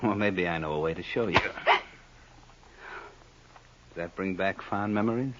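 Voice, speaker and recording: An actor playing a smug, menacing small-time crook in an old-time radio crime drama, sly and taunting with mock sweetness. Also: male voice, low-pitched, normal speed, a bit bright, a little hiss or hum. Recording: dull lo-fi old radio recording.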